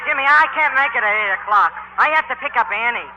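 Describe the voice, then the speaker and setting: An actor playing a pleading, compliant young band musician in 1940s radio style. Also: male voice, very high voice, expressive, fast talker, sharp tone, heavily acted. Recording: dull, noisy vintage radio recording.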